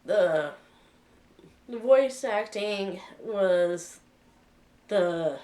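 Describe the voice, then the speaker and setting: Slow, hesitant woman with a cold. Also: mid-range voice, lively intonation, warm tone, distant mic. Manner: hesitant, many fillers and restarts. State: sick with a cold. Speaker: vlogger reviewing a film. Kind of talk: casual spoken review.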